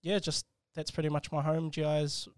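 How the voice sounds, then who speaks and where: A young New Zealand man speaks as an interview guest, soft-spoken and hesitant. His slightly high voice stays flat and even. The tone is smooth and the sound dry. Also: fairly quick, dry recording. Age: 20s. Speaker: guest entrepreneur. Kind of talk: casual interview chat.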